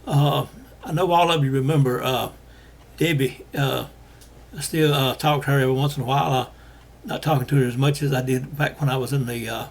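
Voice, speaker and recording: Hoarse older man, halting. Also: mid-range voice, fairly even intonation. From Alabama. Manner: halting, many fillers and restarts. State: losing his voice. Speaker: host. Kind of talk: casual monologue to viewers.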